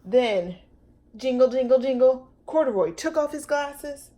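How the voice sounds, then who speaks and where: A woman narrates a picture book for young children in a fairly high voice that swoops up and down. She takes her time, stretching words and acting out the little sound effects as a storytime reader. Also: lively intonation, a little slow, mellow. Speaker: storytime host reading aloud.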